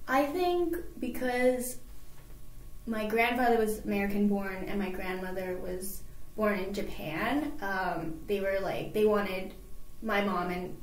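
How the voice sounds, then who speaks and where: A woman's voice: medium pitch, fairly even intonation, unhurried, reverberant, rough recording. A young woman from California, a guest on an interview show, talking casually and filling her answers with many "like"s and fillers.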